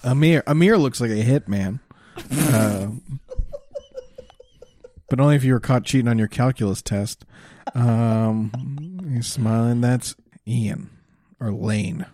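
A man's voice: mid-range voice, slow, long pauses, mellow, a little hiss or hum. A man hosting a casual comedic chat, cracking sarcastic jokes as he goes. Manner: sarcastic, wisecracking.

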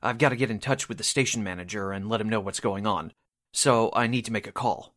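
A man acting a businesslike, anxious radio producer in a 1940s-style radio drama, polite and brisk. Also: fairly low pitch, a bit bright, natural voice. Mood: polite, uneasy.

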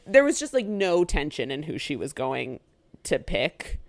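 Lively, swearing young woman podcaster. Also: low-pitched, unhurried, creaky, no echo. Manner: animated, swears freely, acts out quotes. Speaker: podcast co-host. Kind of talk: casual chat recapping a reality show.

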